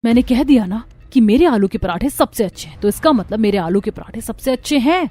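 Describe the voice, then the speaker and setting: A woman voicing a proud, jealous young daughter-in-law in an animated family story, arguing emphatically. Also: on the high side, a little fast. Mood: competitive, defiant. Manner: emphatic, argumentative.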